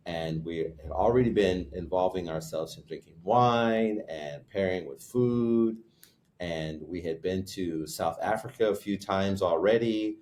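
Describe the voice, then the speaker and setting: A man's voice: low voice, unhurried, a little mellow. A man who co-hosts a wine retreat, telling a rambling story in casual chat, often stopping to correct himself. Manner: rambling, searches for words, self-corrects. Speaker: guest, wine retreat co-host.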